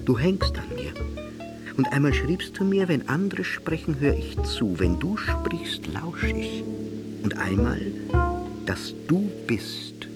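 Brooding, slow actor as weary lover. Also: male voice, fairly high pitch, big pitch swings, loud music behind the voice. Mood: brooding, wistful. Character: weary, melancholy lover longing for freedom. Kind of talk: dramatic literary monologue over plucked-string music.

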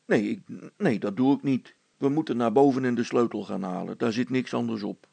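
A man's voice: mid-range voice, a little fast, vocal fry, warm tone, husky, dry recording. A man voicing a brusque, practical father in a children's story, blunt, emphatic and easily exasperated.

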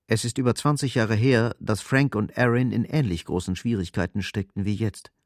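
A man's voice: fairly low pitch, moderate pace, no echo, clean studio sound. A man narrating a scripted radio drama as its storyteller.

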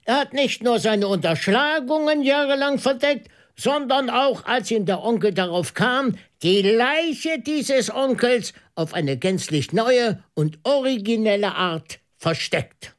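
A shrewd priest detective in a radio drama, with a high male voice that swings widely in melody. He reasons slowly and thoughtfully, weighing each point. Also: expressive. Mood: wry, pointed. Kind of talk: German radio drama acting.

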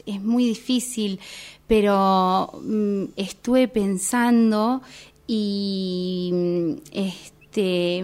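Very slow, timid woman acting a grown daughter. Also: mid-range voice, darkish tone. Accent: Argentine. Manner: very halting, tentative. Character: timid, apologetic thirty-eight-year-old daughter still at home. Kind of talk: improvised radio theatre workshop exercise.

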